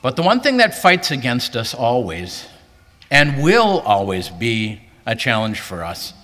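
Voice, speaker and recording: Male pastor, earnest sermon delivery, bright and forceful, reverberant convention hall. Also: wildly swooping pitch, a little slow. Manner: earnest, rising pitch for emphasis. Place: large hall, preaching to a convention.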